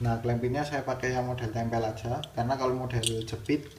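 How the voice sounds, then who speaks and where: A man's voice: medium pitch, fairly even intonation, brisk. Male tutorial host, casual step-by-step delivery, distant echoey room sound. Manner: explains step by step. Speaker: video host demonstrating product installation. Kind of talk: casual hands-on tutorial narration. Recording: roomy, distant mic, clear background noise.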